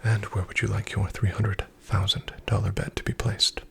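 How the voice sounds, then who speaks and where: A man in a soft-spoken, whispered ASMR roleplay as a casino dealer, speaking in a polite, formal, deferential way. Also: fairly low pitch, little pitch movement, normal speed, gravelly, husky.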